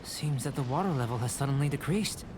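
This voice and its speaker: A man playing a calm, scholarly young alchemist in an anime video game, speaking in a precise, formal, reserved way. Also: mid-range voice, fast, a little hiss or hum, natural voice. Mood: pensive.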